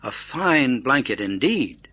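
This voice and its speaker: A man voicing a cold, sharp-tongued, grudging young woman in a storybook tale, with a cutting manner. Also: medium pitch, very animated, takes it slowly, husky, lo-fi sound, full character voice.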